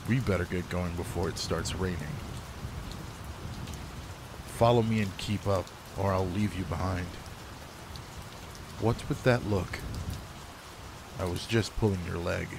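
A gruff alpha werewolf, played by a man, talks low and close in a roleplay audio drama. His voice is gravelly, slow and commanding, with a guarded, caring, teasing side. Faint rain runs behind him. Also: fairly even intonation, background noise. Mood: brisk, caring.

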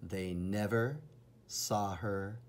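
A man reading a children's storybook aloud in an expressive, dramatic, deliberate way, with a husky, breathy voice. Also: lowish voice, slight background noise.

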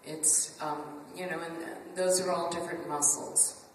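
A woman voice actress with a deep voice, speaking earnestly on a convention panel. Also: steady tone, unhurried. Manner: earnest, self-described deep voice. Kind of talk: casual convention Q&A. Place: large convention function room. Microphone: table mic seen from far camera. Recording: echoey hall from far off, hiss.

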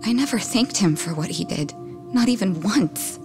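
A woman's voice: mid-range voice, expressive, normal speed, over music, natural voice. An actress playing a blunt, wounded young woman in a video game, speaking frankly and with emotion. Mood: regretful. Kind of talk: English game voice acting.

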